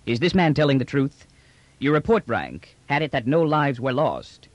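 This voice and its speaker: Man acting an imperial general, formal, precise, smooth authority, cool menace, dry close recording. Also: medium pitch, very animated, normal speed, dry recording, lo-fi sound, pitched above the performer's own voice. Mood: commanding. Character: commanding, confident male imperial general, coolly menacing. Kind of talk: audiobook character dialogue.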